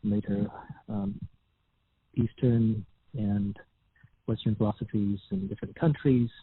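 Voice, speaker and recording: A man in his fifties from Taiwan, a visiting scholar, answering an interview in a measured way with frequent pauses. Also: fairly low pitch, a little hiss or hum. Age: 50s. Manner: measured, pausing often. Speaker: visiting religious studies scholar. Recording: tinny phone-like line.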